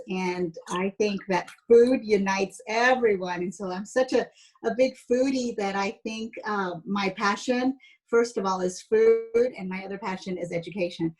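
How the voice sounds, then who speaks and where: A woman's voice: medium pitch, rough recording. A woman in her fifties from San Jose speaks as an interview guest with a mid-range voice. She explains things in a chatty, casual storytelling style. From San Jose, United States. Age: 50s. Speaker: interview guest, educator and former pastry chef.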